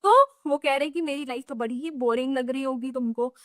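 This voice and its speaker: A young woman with an Indian accent leads a revision lesson for students. Her voice sits high and bright, recorded dry and close with no room sound. She explains in a chatty, conversational way, mixing languages and leaning on fillers. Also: steady tone, brisk, dry recording. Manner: chatty code-switching explainer with many "you know" fillers. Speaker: English teacher.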